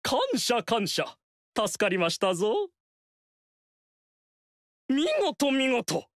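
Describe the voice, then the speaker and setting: An actor voicing a flamboyant, fawning court strategist in a video game, speaking in a theatrical, courtly way and repeating phrases for emphasis. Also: male voice, very high-pitched, sing-song, extremely slow, no echo, clean studio sound, exaggerated voice. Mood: flattering. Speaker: game character.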